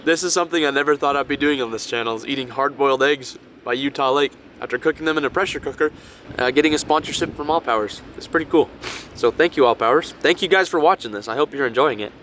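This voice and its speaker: A young man with a cold-raspy voice chatting excitedly to camera while car camping by a lake, casual and full of "dude" and "like". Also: medium pitch, fast talker, a little hiss or hum. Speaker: vlogger. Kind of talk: casual vlog chat to camera.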